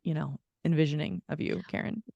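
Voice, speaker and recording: A woman's voice: deep voice, brisk, gravelly, dark tone, dry recording, lo-fi sound. A woman co-hosting a podcast in casual chat with a friend, gushing and affirming, rambling in a giggly, self-deprecating way. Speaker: podcast co-host.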